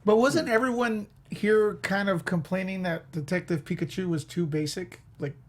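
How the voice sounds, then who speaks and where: A man's voice: high voice, normal speed. A man chatting enthusiastically and playfully as a panelist on a film discussion show. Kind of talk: casual panel chat. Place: studio.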